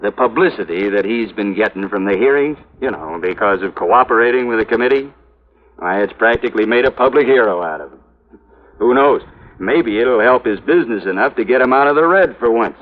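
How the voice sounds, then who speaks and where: An actor playing a brash, dismissive police sergeant in a 1950s radio crime drama, talking in a slangy, cocksure way. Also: male voice, on the low side, lively intonation, a little fast, natural voice. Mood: scornful. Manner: slangy, cocksure, brushing off objections. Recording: tinny, slightly noisy vintage radio recording.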